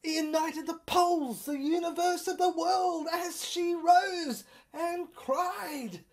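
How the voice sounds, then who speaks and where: A woman performing a dramatic literary monologue in a theatrical, intense and halting way, in broken phrases. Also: very high voice. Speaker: performer reading a serial screenplay.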